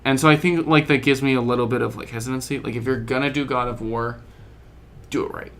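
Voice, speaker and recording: Gravelly man in casual podcast chat. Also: medium pitch, steady tone, fast, fairly bright tone. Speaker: podcast co-host. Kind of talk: casual chat about games and films.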